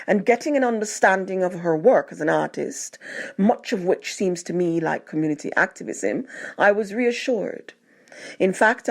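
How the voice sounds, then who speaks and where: Woman reading a memoir in measured phrases. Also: on the low side. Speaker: narrator reading a written tribute. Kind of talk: scripted narration of a personal memoir.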